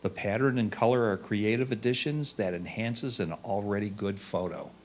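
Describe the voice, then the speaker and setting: A man's voice: lowish voice, normal speed, slight background noise. A man reading a judge's written photo comments aloud. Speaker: reader of judges' critiques.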